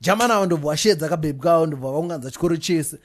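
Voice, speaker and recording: A man's voice: on the high side, very fast. A man hosting a podcast, telling stories animatedly with Shona phrases mixed in and frequent laughs. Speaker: podcast host. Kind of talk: casual chat.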